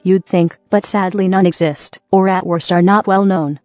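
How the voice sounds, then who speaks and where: A woman with a fairly low voice delivers scripted co-host remarks, sarcastic and needling. The recording is close and slightly muffled, with little room sound. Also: steady tone, moderate pace, darkish tone, no echo. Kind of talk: scripted banter commentary. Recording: dull dry audio, music behind. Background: background music.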